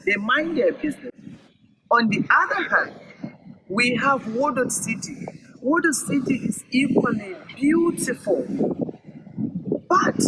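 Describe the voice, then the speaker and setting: High-pitched, animated man storyteller, noisy recording. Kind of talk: oral storytelling read-aloud. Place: virtual event recording. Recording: clearly noisy recording with clicks.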